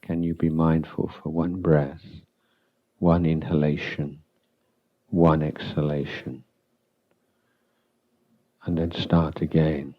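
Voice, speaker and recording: A man with a very low, even voice leads a guided meditation as a teacher. He speaks calmly in short phrases, leaving long pauses between them. The recording is a little dull. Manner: calm, unhurried, halting with long pauses. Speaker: Buddhist monk, meditation teacher.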